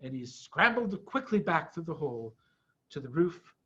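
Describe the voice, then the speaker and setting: A middle-aged man reading a children's storybook aloud in an expressive, emphatic way. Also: a little high, normal speed, dull audio.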